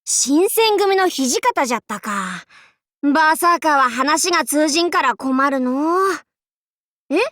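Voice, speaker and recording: Casting: young female demon-king warlord, anime game. High, swooping delivery; haughty, archaic, cocky, with a goofy streak. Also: expressive, measured pace, a bit bright, dry recording, clean studio sound. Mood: breezy, teasing. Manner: archaic lordly speech, haughty, abruptly switching to goofy. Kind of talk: Japanese anime game character voice lines.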